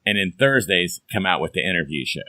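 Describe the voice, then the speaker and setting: Hoarse man hosting a podcast. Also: deep voice, animated, fairly quick, sharp tone, no echo. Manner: folksy, chatty, upbeat. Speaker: podcast host. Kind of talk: casual chat.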